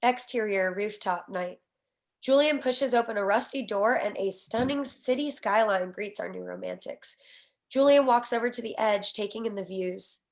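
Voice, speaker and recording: A woman's voice: medium pitch, moderate pace, gravelly. A woman reading out the stage directions of a comedy screenplay as scripted narration.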